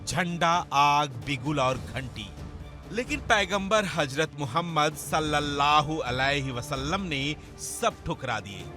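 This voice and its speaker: A man delivering scripted, dramatic news documentary narration in a solemn, emphatic storytelling manner. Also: fairly high pitch. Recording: music under the voice. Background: background music.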